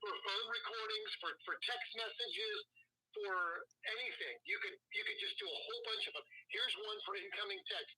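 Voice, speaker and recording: A man talks eagerly, tripping over repeated words as he pushes an idea. His voice is high, very bright and husky. It comes through a thin phone line. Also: fairly even intonation, moderate pace, no room sound. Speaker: podcast co-host. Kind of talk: casual chat.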